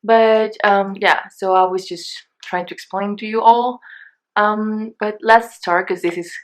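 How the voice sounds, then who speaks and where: A woman's voice: mid-range voice, steady tone, measured pace. A young woman from Mexico, a Spanish native speaker with a Mexican accent, chatting casually through a shopping haul, rambling and hesitant, with many fillers and restarts. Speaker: beauty vlogger.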